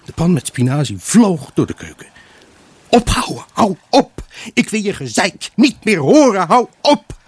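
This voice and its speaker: A man's voice: high voice, very animated, a little slow, husky, no room sound, full character voice. A man acting a troubled, brooding husband who tells of his unhappy marriage in a radio play monologue. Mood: angry, agitated. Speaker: actor narrating a radio drama. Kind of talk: dramatic first-person monologue in a Dutch radio play.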